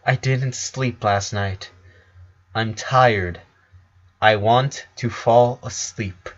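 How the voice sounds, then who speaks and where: A man's voice: medium pitch, fairly even intonation, extremely slow, dull audio. A man voicing a sick eleven-year-old boy who is stubborn, weary and snappish in a story reading. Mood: weary, sullen.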